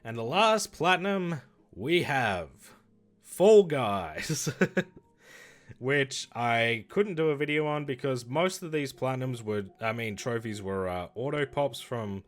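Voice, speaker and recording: A man streaming and chatting casually about games, rambling and correcting himself, with his voice going hoarse. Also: mid-range voice, slow pace. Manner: rambling, self-correcting, lively. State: losing his voice. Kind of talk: casual livestream chat reviewing games.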